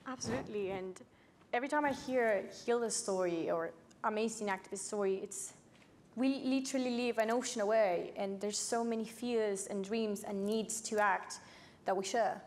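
A woman's voice: mid-range voice, moderate pace. A nineteen-year-old woman from Chile with a Chilean accent, a native Spanish speaker, talking conversationally as an activist on a panel. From Santiago, Chile. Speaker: youth climate activist panelist. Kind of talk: personal story in a panel talk. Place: stage at an indoor panel event. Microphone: lapel mic.

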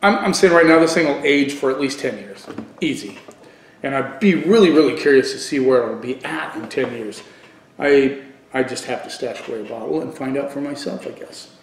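A middle-aged man giving a casual wine review on video, thinking aloud haltingly and repeating words for emphasis. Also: mid-range voice, animated, a little hiss or hum. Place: living room at home, indoors. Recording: echoey room.

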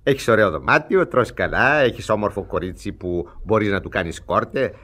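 An actor playing an effusive, fussy older swindler in a radio comedy, fatherly and excitable. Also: fairly low pitch. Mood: coaxing, indignant. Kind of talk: theatrical comedy radio acting.

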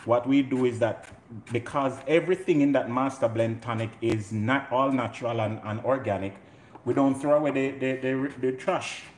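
A man's voice: medium pitch, normal speed, darkish tone. A middle-aged man with a Jamaican accent, casually and playfully hosting a live home cooking demonstration. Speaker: home cook and show host. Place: indoor home kitchen. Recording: roomy kitchen, clear noise, pot clatter.

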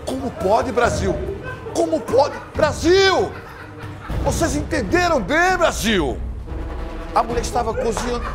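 Older man, TV news host, high lively voice, laughing and shouting, music behind in the studio. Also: unhurried, long pauses. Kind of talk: loud comic TV news presenting.

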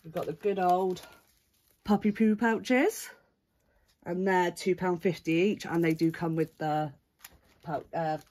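Woman, low pitch, stuffy cold voice, chatty listing of prices, phone mic indoors. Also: darkish tone. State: bunged up with a cold. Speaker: crafter showing her handmade stock. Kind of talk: casual show-and-tell vlog. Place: indoors at a craft table.

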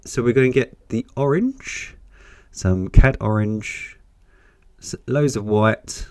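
A croaky, deep-voiced man talks through a painting lesson while he works, hoarse from a cold. He sounds chatty and encouraging. His voice sits at a middle pitch for a man, recorded dry and close. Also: a little mellow, dry recording. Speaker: painting teacher. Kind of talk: step-by-step painting tutorial.

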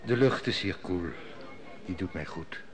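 An actor playing a dutiful, formal court steward in an old radio play. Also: male voice, low-pitched, faint music behind. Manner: formal, measured.

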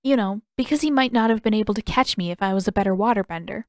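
A woman's voice: medium pitch, fast, no room sound, clean studio sound, lightly acted. A woman voicing a determined, guarded, stubborn teenage girl in an audiobook story. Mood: thoughtful. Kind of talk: character dialogue in audiobook narration.

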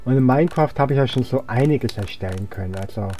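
A man's voice: medium pitch, animated, quick pace, darkish tone, faint music behind. A young man giving casual gameplay commentary, rambling and thinking aloud as he plays. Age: young adult. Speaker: let's player.

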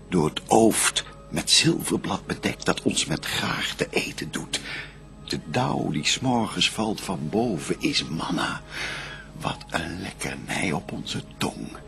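Devout first man and adoring bridegroom, low gravelly voice, lyrical sweeping declamation, solemn verse radio play. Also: a bit bright, heavily acted.

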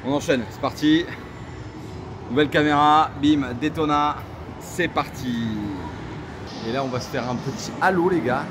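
A man's voice: on the high side, normal speed, long pauses, noisy background. A man vlogging casually and enthusiastically, using informal slang. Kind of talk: casual chat to camera. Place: large indoor arcade. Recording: loud arcade music and machine din behind.

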